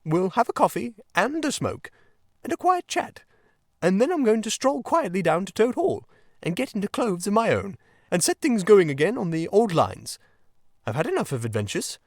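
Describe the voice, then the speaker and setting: A man voicing a boastful, excitable toad gentleman from a storybook, in a gushing, plummy, melodramatic manner. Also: high voice, moderate pace, dry recording, studio quality, voice pushed up, heavily acted. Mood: breezy, self-satisfied. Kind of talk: audiobook character acting.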